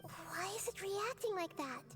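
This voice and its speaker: Game role: tiny girl deity, very high voice, calm, gentle, articulate; light music underneath. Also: measured pace. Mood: watchful. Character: childlike young girl god, calm, curious, gentle. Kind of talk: video game voice acting.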